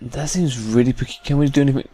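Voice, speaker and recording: A man's voice: mid-range voice, quick pace, warm tone. A man with a British accent giving casual commentary while playing a video game. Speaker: gaming video host. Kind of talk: casual let's play commentary.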